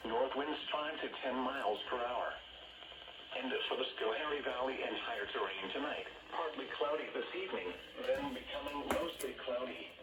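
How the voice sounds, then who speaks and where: A man formally and flatly reading a scripted weather forecast as a radio announcer. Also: medium pitch. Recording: noisy radio speaker, handheld camera capture.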